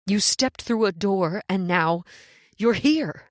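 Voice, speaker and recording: A woman's voice: mid-range voice, animated, slow, dry recording, studio quality. An actress playing a fatalistic stranded woman who delivers dreadful truths in a solemn, portentous old-time radio horror style. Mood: ominous.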